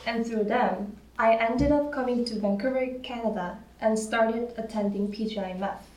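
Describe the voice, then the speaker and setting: A woman's voice: on the high side, moderate pace, a little mellow. A young woman from Korea, reading her personal faith story to her church in an earnest, careful way. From South Korea. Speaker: church member sharing testimony. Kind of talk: read personal testimony. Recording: roomy, distant from the mic.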